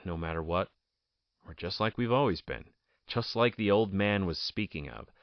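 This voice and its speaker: An actor playing an anguished, imprisoned political outcast with strange powers, speaking in theatrical, solemn soliloquy that can break into frantic outbursts. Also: male voice, deep voice, lively intonation, normal speed, smooth, dry recording. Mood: bitter. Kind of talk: audio drama acting, soliloquy. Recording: dull lo-fi dry recording.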